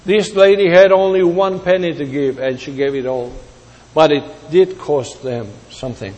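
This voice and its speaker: A man from Greece preaching a sermon in a deliberate way, repeating words for emphasis. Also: fairly high pitch, a little mellow. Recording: long hall reverb.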